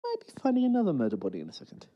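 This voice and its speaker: A man's voice: on the high side, wildly swooping pitch, very fast, muffled recording. A man co-hosting a podcast, casually chatting and commenting along while watching an episode. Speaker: podcast co-host.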